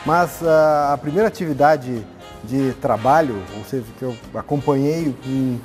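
A man answering interview questions in a measured, hesitant way. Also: mid-range voice, a little mellow. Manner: measured, hesitant with many fillers. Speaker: guest. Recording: faint music under the voice.

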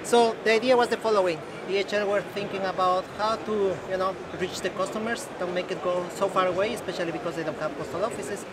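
A man's voice: high voice, steady tone, quick pace, hardly any pauses, over a noisy crowd. A middle-aged man speaking enthusiastically as a company representative in a trade-fair interview, filmed in a busy exhibition hall.

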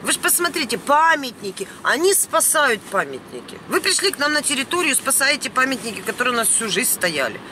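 A middle-aged woman vlogger. She rants emphatically and indignantly, with mild swearing thrown in. Clear vehicle noise runs behind her as she talks into a camera inside a van. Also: high-pitched, very animated, a little fast, hardly any pauses, bright, forceful tone. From Ukraine. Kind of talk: unscripted emotional vlog rant. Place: inside a parked or moving van. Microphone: camera at about arm's length. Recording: noisy vehicle cabin.

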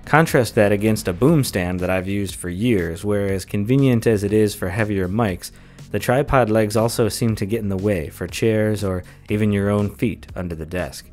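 A low-pitched man in his thirties talks through a review at an easy, measured pace, explaining things plainly. He sits close to a condenser mic on a boom arm in a small home office, with faint music underneath. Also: darkish tone. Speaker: tech reviewer. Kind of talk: casual product review. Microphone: close desk condenser mic on boom arm.

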